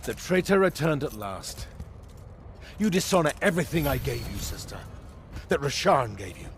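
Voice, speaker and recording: A gloating immortal tyrant from a fantasy game, played by a man, fairly high for a male voice and sweeping through a very animated range. He speaks with theatrical grandeur and cruelty. Battle noise runs clearly behind him. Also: normal speed, lightly acted. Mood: bitter contempt. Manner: grandiose, theatrical villain delivery. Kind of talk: dramatic video game acting. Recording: noisy battle effects behind the voice.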